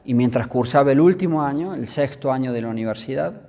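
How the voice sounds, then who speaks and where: Man in his fifties, Argentine accent, mellow voice, measured storytelling, long hall reverb. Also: mid-range voice. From Córdoba, Argentina. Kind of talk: conversational storytelling in an interview.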